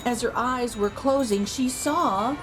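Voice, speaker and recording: A woman reading a children's picture book aloud in an expressive storytelling manner. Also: over music, low-quality recording. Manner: slow, expressive storytelling with lively pitch.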